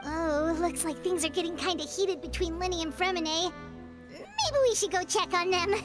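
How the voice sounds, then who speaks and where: A woman's voice: very high-pitched, lively intonation, normal speed, over music. A woman voicing a tiny, bubbly floating companion in a video game who chatters and talks about herself by name. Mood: curious.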